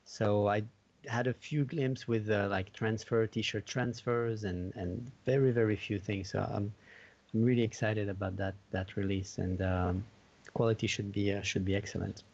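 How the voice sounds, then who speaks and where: A man from Belgium, a guest on a casual podcast, speaking haltingly. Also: on the low side, fairly even intonation, vocal fry, darkish tone, highs cut off.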